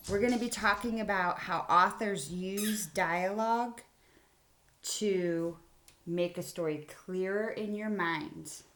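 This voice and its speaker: A woman teacher giving a children's writing lesson in simple, explanatory phrases. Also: medium pitch. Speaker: elementary school teacher. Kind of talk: read-aloud writing mini-lesson for children.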